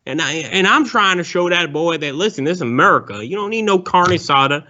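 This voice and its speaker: A man's voice: fairly high pitch, animated, a little fast, pushed and bright. A man voicing a rambling, bigoted Southern ex-convict on a prank call, with a folksy drawl and calling everyone sir. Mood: ranting. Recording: dull lo-fi phone call.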